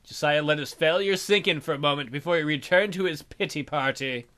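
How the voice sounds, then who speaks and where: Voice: mid-range voice, fairly quick, a bit bright. A game player reading a story aloud as narrator and breaking off for wry, teasing commentary. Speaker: let's play host.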